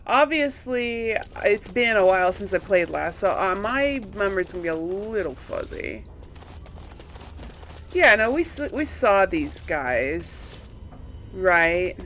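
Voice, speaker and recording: A woman hosts a casual video game playthrough at a slow, unhurried pace. She talks to her viewers in a chatty way, thinking out loud and questioning herself. Clear background noise and game ambience sit behind her voice. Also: medium pitch, lively intonation. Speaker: video game streamer and series host. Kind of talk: casual let's play commentary.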